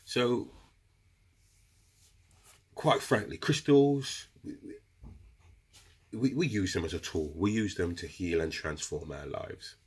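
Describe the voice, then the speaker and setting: Very deep husky man with a cold. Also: takes it slowly, long pauses. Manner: chatty, addresses viewers as guys. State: has a cold, runny nose. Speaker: crystal seller explaining meditation. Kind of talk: casual instructional video talk.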